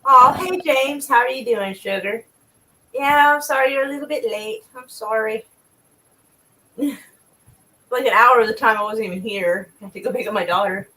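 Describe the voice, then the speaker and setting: A woman's voice: fairly high pitch, normal speed, pauses often, bright, forceful tone, low-quality recording. A woman hosting a casual livestream, laughing and chatting with guests and viewers. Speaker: stream host.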